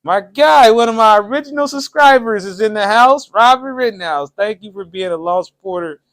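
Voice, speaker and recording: Man, casual livestream host, rambling, jokey, fairly high voice, dry close sound. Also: moderate pace, dry recording. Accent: Ohio, American. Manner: chatty, rambling, jokey with frequent laughs; shout-outs to viewers, loose colloquial speech. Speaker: channel host. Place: home setup with cameras.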